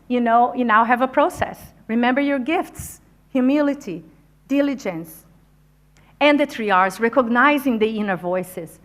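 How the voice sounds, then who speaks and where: A woman who is not a native English speaker, giving an encouraging motivational talk to a conference audience. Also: fairly high pitch. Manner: energetic, encouraging, addresses audience directly. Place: conference venue.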